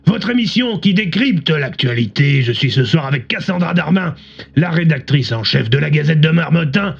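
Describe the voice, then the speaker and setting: A man playing a smug, theatrical male radio debate host in an audio drama, pompous and emphatic, with a husky, gravelly voice through a radio filter. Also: a little high, animated, normal speed, heavily acted. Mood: showy, welcoming. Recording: muffled radio broadcast sound, music behind.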